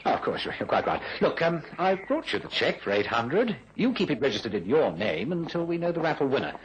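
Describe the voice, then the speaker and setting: An actor playing a scheming, smooth-talking businessman in a radio drama, with a glib, wheedling and condescending manner. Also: fairly high pitch, very animated, brisk, lo-fi sound. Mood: glib, persuasive. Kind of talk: old-style radio drama acting.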